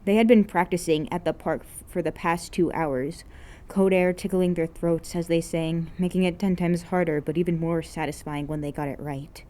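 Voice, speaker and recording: A woman's voice: fairly low pitch, steady tone, normal speed, dark tone. A woman reading scripted fiction aloud as an amateur narrator. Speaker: amateur podfic reader.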